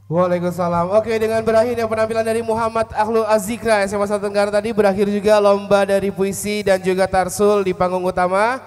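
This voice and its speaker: A man emceeing a live outdoor event, chatty and joking in relaxed slang on a handheld mic. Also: sits high, quick pace, in a large hall, soft background music. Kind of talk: casual live-event hosting. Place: outdoor stage at an office yard event. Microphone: handheld mic through PA.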